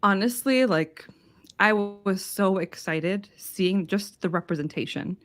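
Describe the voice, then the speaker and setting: A woman from Houston is a guest on a podcast and talks with real enthusiasm as she tells stories. Her voice is mid-pitched and recorded close and dry. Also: a little slow, fairly bright tone, no echo. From Houston, Texas. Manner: enthusiastic, storytelling. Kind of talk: casual podcast chat.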